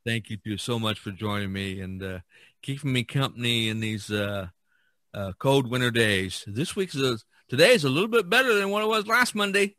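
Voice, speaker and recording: A man from Indiana with an American accent, hosting a casual podcast chat in a halting way, often pausing mid-thought. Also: medium pitch, bright, forceful tone, no room sound, clean studio sound. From Indiana, USA. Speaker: podcast host.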